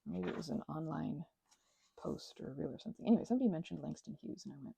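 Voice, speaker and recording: Very creaky-voiced woman rambling to viewers. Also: sits low, animated, fast talker, mellow, no room sound. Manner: effusive, gushing, repeats words for emphasis. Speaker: crafting vlogger and ASMR creator. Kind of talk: rambling casual chat to viewers.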